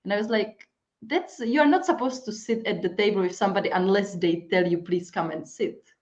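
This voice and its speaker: Woman host, chatty, fillers, mid-pitched, dull audio. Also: a little mellow. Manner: chatty, many fillers and halting restarts. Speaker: interview host. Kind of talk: casual conversational interview.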